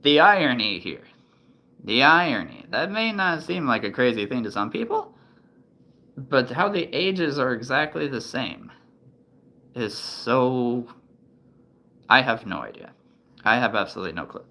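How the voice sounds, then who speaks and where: A man's voice: medium pitch, sing-song, measured pace, pauses often, sharp tone. A man in his thirties chatting casually to the camera, thinking aloud with many ums and restarts. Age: 30s. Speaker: vlogger.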